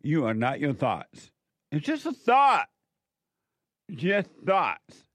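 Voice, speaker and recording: A man's voice: on the high side, wildly swooping pitch, slow, dry recording. A man in his seventies hosting a call-in radio show, giving blunt, preachy advice to callers. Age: 70s.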